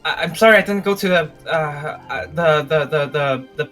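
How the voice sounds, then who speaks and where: A flustered young magic apprentice , acted out in a Minecraft roleplay, with a bright, tense voice. The speech stammers and hesitates guiltily, and music plays underneath. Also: medium pitch, normal speed, natural voice. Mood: flustered, defensive. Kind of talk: improvised Minecraft roleplay acting. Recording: music playing behind the voice.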